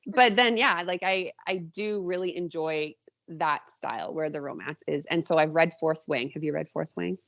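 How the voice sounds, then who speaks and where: Lower-pitched woman author in casual chat. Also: moderate pace, vocal fry, fairly bright tone. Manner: chatty, informal, quotes her own thoughts. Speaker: podcast guest, indie author. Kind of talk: casual conversation.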